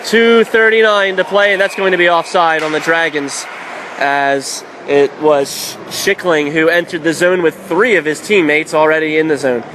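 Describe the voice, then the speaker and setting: A male hockey announcer with a high-pitched voice gives live radio play-by-play. His calls are clipped and excitable. Loud rink noise runs behind him. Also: normal speed, low-quality recording. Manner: excitable on shots, clipped present-tense calls. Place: indoor ice hockey arena.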